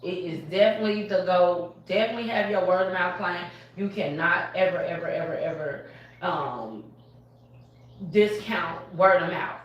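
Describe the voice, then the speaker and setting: A woman, a barbershop owner speaking on a live panel in an animated, emphatic way, often laughing. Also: fairly low pitch, moderate pace, lo-fi sound, a little hiss or hum. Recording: echoey room from far off.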